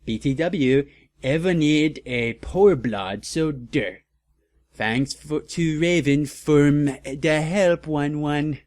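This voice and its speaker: A man's voice: fairly high pitch, takes it slowly, a little mellow. A man voicing a defensive, brash teenage girl author reading her petulant notes with stumbling, garbled words. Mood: defensive, irritated.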